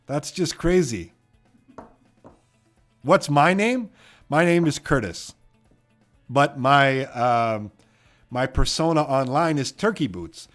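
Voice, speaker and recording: A man's voice: mid-range voice, lively intonation, slow pace, long pauses, fairly bright tone, soft background music. Chatty Canadian man hosting a live stream. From Edmonton, Canada. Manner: chatty and rambling, many fillers and "you know what". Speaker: trivia live stream host. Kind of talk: casual live stream chat, reading viewer comments.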